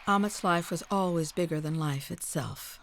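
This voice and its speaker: A woman reads scripted documentary narration in a measured, formal storytelling manner. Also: fairly low pitch, vocal fry.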